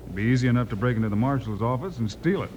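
An actor playing a steady, commanding western lawman who speaks firmly and plainly in a radio western. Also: medium pitch, rapid-fire, highs cut off, lightly acted. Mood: wary, thoughtful. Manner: firm, no-nonsense questioning. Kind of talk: 1950s radio western acting. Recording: noisy old-time radio recording.

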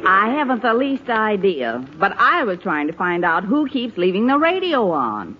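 An actress playing a scolding, exasperated middle-aged American wife and mother in a 1940s radio comedy, nagging briskly. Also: mid-range voice, wildly swooping pitch. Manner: brisk nagging, talks over her husband. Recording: muffled, faintly noisy 1940s radio recording.

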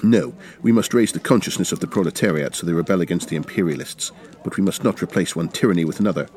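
A man voicing a calm, principled revolutionary debating doctrine in a story reading, earnest and argumentative. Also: deep voice, quick pace, lightly acted. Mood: earnest protest. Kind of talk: dramatic short story reading.

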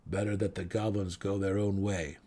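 A man voicing a grim, wary male deep gnome miner in a fantasy story reading, muttering in formal, old-fashioned phrasing. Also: flat delivery, normal speed, dark tone. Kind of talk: fantasy audiobook character voice.

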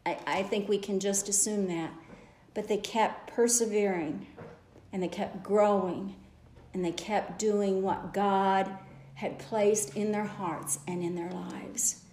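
Slow, earnest woman preaching in echoey church. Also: medium pitch, fairly even intonation, darkish tone, slight background noise. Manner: earnest, exhorting with repeated phrases. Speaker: lay preacher. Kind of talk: church message. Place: church sanctuary.

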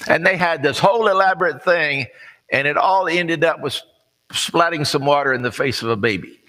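An American man preaching a sermon in a folksy, conversational storytelling way, plain-spoken and pausing deliberately. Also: a little high, sharp tone. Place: large reverberant room, preaching to a congregation. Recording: long hall reverb.

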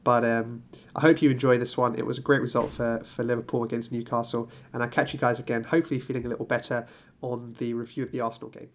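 A man's voice: mid-range voice, level tone, quick pace, slight background noise. A man who has a cold and sounds nasal and snivelly. He hosts a solo football podcast and rambles along with restarts and fillers. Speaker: podcast host.

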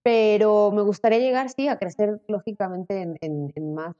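A Mexican woman in her late twenties chatting as an interview guest, animated and quick to laugh. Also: mid-range voice. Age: late 20s. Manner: animated, laughing, quoting herself in lively bursts. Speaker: interview guest, content creator. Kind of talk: casual conversation. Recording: dull audio with long reverb.

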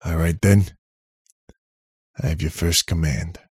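A man voicing a practical, resigned man in an audiobook, speaking in a plain, deliberate, matter-of-fact way. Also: deep voice, steady tone, breathy edge, no room sound, clean studio sound, full character voice. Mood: commanding. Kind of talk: audiobook character voice.

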